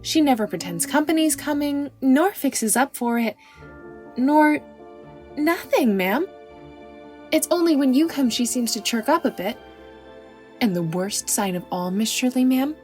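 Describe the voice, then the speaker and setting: A woman's voice: sits high, lively intonation, unhurried, long pauses, a little mellow, soft background music. A woman voicing an anxious, devoted young maidservant girl in an audiobook, with an earnest, deferential, folksy rural way of talking. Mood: worried, confiding. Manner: rustic folksy dialect, deferential, breathlessly earnest. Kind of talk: audiobook character acting.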